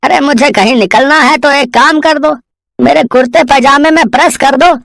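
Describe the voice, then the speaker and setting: A woman's voice: sits high, moderate pace, vocal fry, a bit bright, dry recording, studio quality. A woman voicing a kindly older male village headman in a moral story, chatty and folksy with fatherly encouragement. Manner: chatty, folksy, with frequent exclamations and fatherly endearments. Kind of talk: dramatised Hindi moral storytelling.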